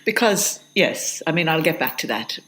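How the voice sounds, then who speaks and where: A woman's voice: deep voice, animated, moderate pace, fairly bright tone, low-quality recording. A middle-aged woman, a literature professor answering interview questions in a reflective, hesitant way. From Jamaica. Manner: thoughtful, hesitant, with restarts.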